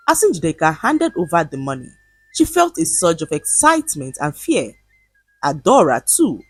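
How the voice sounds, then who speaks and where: A woman narrating a folktale from a script in an expressive, dramatic storytelling manner. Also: deep voice, husky, no room sound, poor audio.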